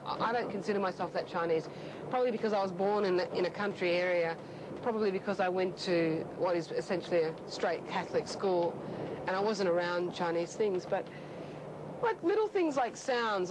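A young adult woman, chatting casually and reflectively. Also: mid-range voice. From Australia, grew up in Melbourne. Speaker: interviewed train passenger, actor. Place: inside a moving train carriage. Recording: clear train noise behind.

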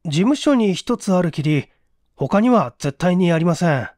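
A man's voice: high voice, lively intonation, measured pace, darkish tone, dry recording, studio quality. A man voicing a deferential, earnest apartment employee under police questioning in a mystery audiobook, polite and emphatic. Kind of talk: dramatic audiobook character acting.

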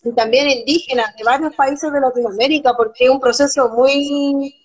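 Chilean woman guest, young, earnest and rapid, highish voice, dull lo-fi phone-camera sound. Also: steady tone, slightly rough. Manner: earnest, talks fast with many restarts. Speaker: guest, documentary photographer. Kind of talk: casual live-stream interview. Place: small room at home, indoors. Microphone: phone camera on a video call.

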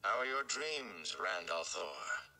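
A man voicing a calm, tired, commanding sorceress in a storybook reading, measured and in control. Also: low voice, creaky, full character voice. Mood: probing calm.

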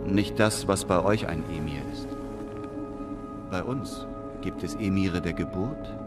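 An actor playing an earnest, humble traveller and narrator in a literary radio drama, speaking in a measured way. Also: male voice, sits low, loud music behind the voice. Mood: humble, reflective.

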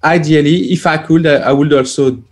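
A man, a plug-in developer guest, answering casually with many pauses as he searches for words over a video call. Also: on the high side, fairly even intonation. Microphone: headset on video call.

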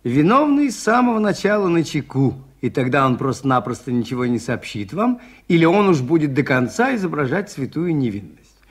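An actor in a Soviet radio play playing a mild, shrewd priest detective, polite, hesitant and quietly probing. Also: male voice, on the high side, sing-song, fairly quick, slightly rough. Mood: patient, explaining.

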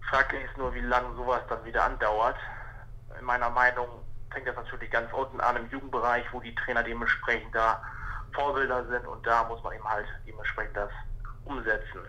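Male, thirties. Very sharp, bright voice, mid pitch, unscripted interviewee speaking over the telephone. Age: 30s. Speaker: football referee, interview guest. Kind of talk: spontaneous phone interview. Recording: telephone line.

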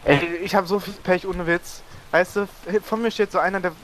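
A man's voice: sits high, steady tone, normal speed, fairly bright tone, poor audio. A young man chatting with friends over a game, telling long rambling stories about his bad luck in the match. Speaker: co-player. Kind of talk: casual multiplayer gaming chat.

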